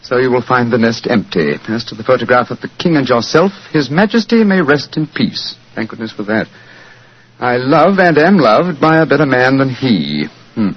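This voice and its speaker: Courteous, assured detective, husky voice, precise delivery, vintage radio drama. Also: male voice, medium pitch, very animated, normal speed. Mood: reading, measured. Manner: crisp, precise, gentlemanly. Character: brisk, assured, courteous gentleman detective, a man. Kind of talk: 1940s radio acting. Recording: dull vintage radio recording.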